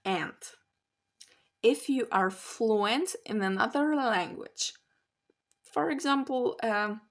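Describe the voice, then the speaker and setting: A young woman from Poland, chatting candidly to camera about learning languages. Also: fairly high pitch. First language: Polish. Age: young adult. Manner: chatty, candid, slow and musing. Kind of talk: casual talk to camera.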